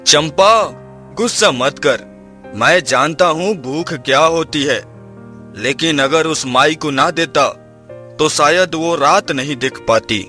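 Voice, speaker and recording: A man's voice: on the high side, slow pace, sharp tone. A man playing a humble, weary and kind poor farmer in a moral storybook tale, meek and earnest. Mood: gentle earnest. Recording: music behind the voice.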